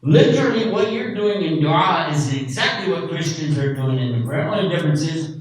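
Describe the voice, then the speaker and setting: A man giving an animated religious talk to a live audience, often asking the crowd questions. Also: fairly high pitch, brisk. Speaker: speaker at an Islamic event. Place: large lecture hall with audience. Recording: echoey hall from far off, faint noise.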